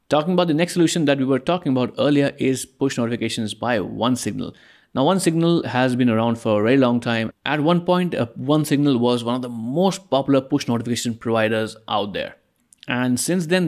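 A man talks through a software tutorial over a screen recording, explaining each step in a relaxed, conversational way. His voice sits at an ordinary middle pitch, and he sometimes corrects himself partway through a sentence. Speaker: tutorial presenter.

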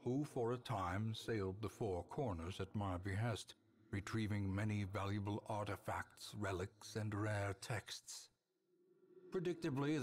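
An actor playing a scheming old aristocrat who narrates his memoirs in a grave, ornate, deliberate manner for a gothic game. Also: fairly low pitch, slight background noise, lightly acted.

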